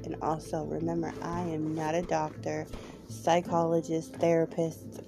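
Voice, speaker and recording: A woman's voice: sits low, completely flat delivery, a little slow, creaky, music in the background. A woman hosting her own podcast, talking candidly with many hesitant ums, her voice a little off from a cold. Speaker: podcast host. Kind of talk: personal podcast monologue.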